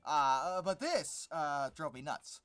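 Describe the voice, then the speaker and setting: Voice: mid-range voice, animated, takes it slowly, a bit bright, clear, resonant tone. A video host giving informal, exasperated and sarcastic commentary on an article, stressing words emphatically.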